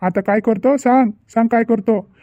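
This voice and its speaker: Man playing a stern, very high-pitched father. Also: moderate pace, dark tone, no echo, lo-fi sound. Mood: scolding, exasperated. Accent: Vidarbha Marathi. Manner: scolding, repetitive, insistent. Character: stern, exasperated middle-aged father of daughters. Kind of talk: amateur cartoon family drama.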